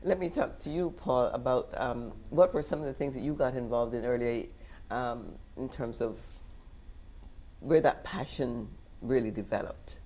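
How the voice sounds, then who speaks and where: A man's voice: medium pitch, moderate pace, darkish tone. A man hosting an interview, asking questions and murmuring agreement as guests speak. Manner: encouraging, frequent murmured agreement. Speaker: interview host.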